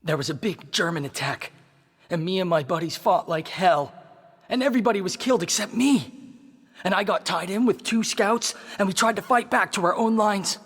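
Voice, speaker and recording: An actor playing a brash, bullying young American soldier who swaggers and goads in folksy slang in a radio drama. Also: male voice, high-pitched, moderate pace, fairly bright tone, slightly rough, no room sound, clean studio sound, exaggerated voice. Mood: boastful.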